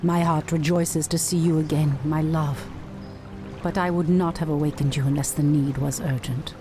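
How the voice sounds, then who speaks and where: An actress playing a proud, resolute night elf warrior priestess in a fantasy game, speaking formally and firmly. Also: female voice, sits low, steady tone, normal speed, lightly acted. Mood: tender. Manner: formal, earnest, firm dramatic delivery. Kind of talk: dramatic fantasy game acting. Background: loud music.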